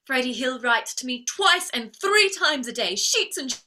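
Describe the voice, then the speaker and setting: Proud young flower girl played by an actress, stage drama, wounded yet defiant, high voice with very lively swings. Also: a little slow, heavily acted. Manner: defiant, plaintive, emotionally outspoken. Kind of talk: theatrical staged play reading.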